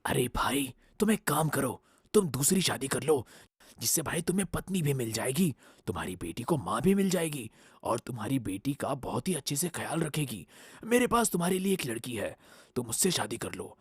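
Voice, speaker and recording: Lady doing a cartoon eagle guy, really raspy n breathy, pitched down, urging a pal along. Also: big pitch swings, moderate pace, dry recording, clean studio sound, exaggerated voice. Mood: persuading. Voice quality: husky, breathy. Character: male eagle, advising friend of the crow.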